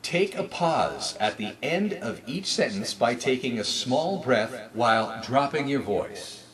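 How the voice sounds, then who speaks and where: Man giving an emphatic presentation, very animated pitch. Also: unhurried. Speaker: presenter of a retail announcing guide. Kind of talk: scripted instructional presentation.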